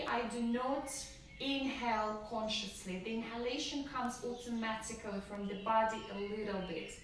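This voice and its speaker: Slow, calm woman in an echoey room. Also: a little high. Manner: slow, calm, gentle guiding. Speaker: yoga teacher. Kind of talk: live-streamed yoga class instruction. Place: room at home. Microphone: camera from a distance. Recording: echoey room, voice far from the mic, faint noise.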